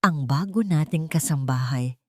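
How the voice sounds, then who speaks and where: A woman's voice: sits low, normal speed, warm tone, no echo. A scheming young bride-to-be with money on her mind, as a woman storyteller puts her on. She is jealous and theatrical, able to turn on menace or tears. The sound is dry, fit for a romance audio drama. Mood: tearful distress. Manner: melodramatic, menacing or sobbing. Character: scheming, jealous rich fiancée, cold and tearful.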